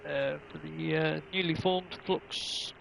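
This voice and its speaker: A man's voice: fairly high pitch, steady tone, slow, vocal fry, fairly bright tone, a little hiss or hum, low-quality recording. A man commentating casually on an online racing livestream, rambling along in an easygoing, hesitant way.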